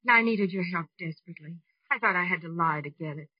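A woman's voice: on the low side, quick pace, slightly rough, no room sound. A woman playing a scheming, desperate divorcee in a 1940s radio drama. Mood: pleading, confessing. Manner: melodramatic, pleading. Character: scheming, desperate young divorcee, defiant blackmailer. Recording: dry, dull old radio recording.

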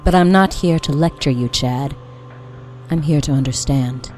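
Woman acting a mellow, low-voiced eerie old woman. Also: normal speed, soft background music, lightly acted. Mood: quietly menacing. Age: older. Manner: intimate, deadpan, creepy scolding. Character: eerie faceless old woman secretly haunting a man's home. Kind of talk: fiction podcast monologue.

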